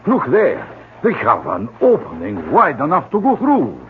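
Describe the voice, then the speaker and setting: Courteous latin american guide, very swoopy theatrical delivery, formal, old tinny radio play. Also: male voice, on the high side, huge pitch swings, a little slow, a little hiss or hum. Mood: solemn, watchful. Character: courteous, cautious Latin American man, expedition host and guide. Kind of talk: 1970s radio drama acting. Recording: muffled old radio broadcast, phone-like.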